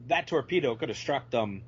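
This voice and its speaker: A man's voice: medium pitch, expressive, measured pace, slightly rough. A man chatting casually about films as a podcast guest, thoughtful and hesitant. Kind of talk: casual film discussion. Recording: slightly muffled audio.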